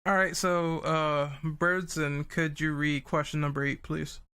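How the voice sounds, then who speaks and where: A man's voice: a little high, little pitch movement, unhurried, pushed and bright, smooth. A man hosting a casual panel discussion, speaking in an informal, opinionated way. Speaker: podcast host.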